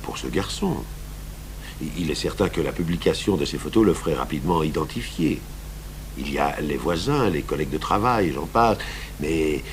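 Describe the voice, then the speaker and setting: Thoughtful stubborn police commissioner in a radio play, low voice that moves around a lot, hesitant, hummy old tape. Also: male voice, animated. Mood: firm, calm. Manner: hesitant, deliberate, pausing mid-thought. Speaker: actor playing a police commissioner. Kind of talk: French radio crime drama acting. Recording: noisy 1980s radio recording with hum.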